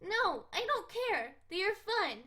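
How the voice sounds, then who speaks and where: Woman putting on a bouncy, teasing cat girl, squeaky and loud, fan reading. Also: very animated, unhurried, exaggerated voice. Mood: indignant. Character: playful excitable young cat girl.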